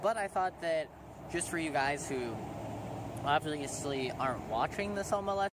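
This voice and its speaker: A man's voice: fairly high pitch, animated, moderate pace. Rambling teenage boy over background noise. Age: teens. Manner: rambling, hesitant, many ums. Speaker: small YouTuber thanking subscribers. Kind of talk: casual vlog to camera.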